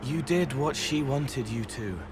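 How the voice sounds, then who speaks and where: A man voicing a gentle, empathetic young man in a video game, speaking in cryptic, poetic phrases. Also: medium pitch, measured pace, close to an everyday voice. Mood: tender, sorrowful. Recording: clear background ambient noise.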